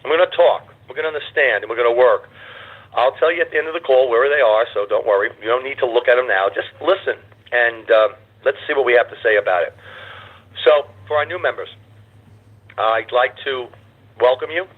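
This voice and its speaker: Hoarse man, call host, rambling with uhs, tinny phone line. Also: mid-range voice, brisk. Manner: rambling, reassuring, with filler uhs. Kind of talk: conference call hosting.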